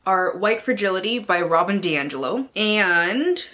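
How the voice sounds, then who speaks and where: A woman talks in a casual book vlog with a theatrical streak. Her delivery is playful and slangy, and she swears freely. Her voice sits at an average pitch for a woman. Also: lively intonation, a little slow, pushed and bright. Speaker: book vlogger. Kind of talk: casual vlog with dramatic readings of book blurbs.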